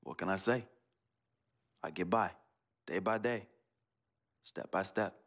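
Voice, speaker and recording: A man's voice: deep voice, takes it slowly, background noise. An actor playing a streetwise young male hustler in a video game cutscene, talking in street slang and dropping "yo" often. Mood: defensive. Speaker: game character, hustling friend.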